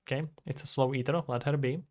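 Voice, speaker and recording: A young man from the Republic speaks English. His voice sits at an average pitch. He chats casually to a livestream while working at his computer, often thinking out loud. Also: fairly even intonation, fast. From Czech Republic. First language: Czech. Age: 20s. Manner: thinking aloud, talking to himself and viewers. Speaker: streamer, indie game developer. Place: indoors at a computer.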